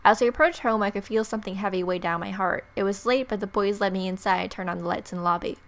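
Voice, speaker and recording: A woman's voice: medium pitch, fairly even intonation, fast talker, a bit bright. A young woman hosting a let's-play, reading game narration aloud expressively and dropping in playful, casual reactions. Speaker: let's-play video host. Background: game music.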